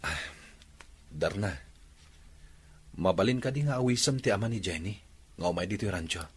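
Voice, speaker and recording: A man's voice: fairly low pitch, normal speed, husky. A man acting an earnest young son with an Ilocano Filipino accent in a radio drama. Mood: requesting. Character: earnest playboy son pleading with his father.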